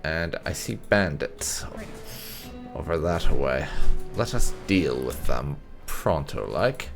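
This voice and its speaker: A man with a deep, creaky voice gives casual gameplay commentary in a dry, wry way. His pitch swings widely as he talks to the characters on screen, with game music playing behind him. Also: sing-song, takes it slowly. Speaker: video game streamer. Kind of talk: casual let's play commentary.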